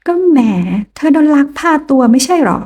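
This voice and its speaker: A woman's voice: sits high, moderate pace, a little mellow, voice pushed up, natural voice. A woman voicing a sly, sneering rival woman. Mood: mock sweet. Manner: sneering, teasing, sing-song. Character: sly mocking rival woman, young adult.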